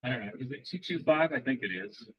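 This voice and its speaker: A man's voice: medium pitch, expressive, quick pace, husky, dry recording, lo-fi sound. A man giving a live demo at an amateur radio club meeting, rambling with frequent restarts and quizzing the audience.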